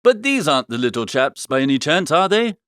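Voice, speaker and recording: A foppish young upper-class gentleman, voiced by a man in a comic storybook, pitched high and very animated. He talks in earnest, emphatic slang, part ditherer and part indignant scold. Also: a little fast, no echo, studio quality. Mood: dithering, mild.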